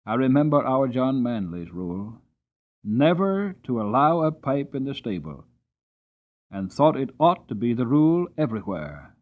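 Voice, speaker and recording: Male audiobook narrator, expressive dramatic reading, middle voice, dull recording. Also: mid-range voice, normal speed.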